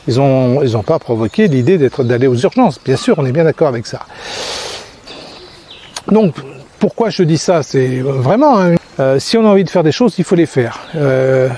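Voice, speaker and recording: A man's voice: medium pitch, animated, moderate pace, dark tone, slight background noise. A man in his sixties, a crêpe-making trainer, talking casually to a phone held at arm's length while walking in a park, rambling with many fillers and restarts. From France. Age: 60s. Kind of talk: casual vlog monologue. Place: park outdoors. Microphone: phone at arm's length, selfie framing.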